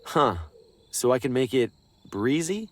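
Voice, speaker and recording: A man's voice: medium pitch, big pitch swings, a little slow. An actor playing a wary, awkward everyman salesman in a video game, hesitant and wryly skeptical. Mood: curious, dry. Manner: hesitant, wry.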